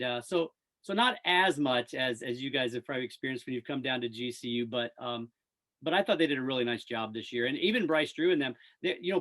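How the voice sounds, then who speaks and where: A man's voice: a little high, fairly bright tone, low-quality recording. A man speaking as a radio play-by-play announcer in a casual, enthusiastic sports interview.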